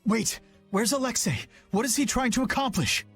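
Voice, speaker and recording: An actor playing a formal, righteous young knight in a video game, with solemn diction. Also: male voice, very high voice, normal speed, fairly bright tone. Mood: urgent. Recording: music under the dialogue.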